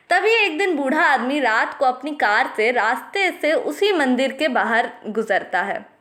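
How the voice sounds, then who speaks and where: A woman's voice: sits high, a bit bright. A woman narrating a scripted bedtime story for children as a storyteller.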